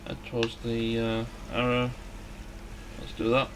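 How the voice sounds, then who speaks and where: A man giving casual, somewhat halting video game commentary as a let's play host. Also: medium pitch, completely flat delivery, background noise. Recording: music behind the voice. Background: game music.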